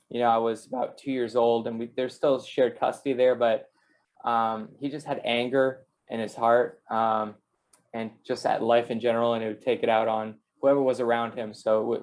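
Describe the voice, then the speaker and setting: A man from Canada and the United States, a singer giving a casual personal testimony as an interview guest. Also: mid-range voice.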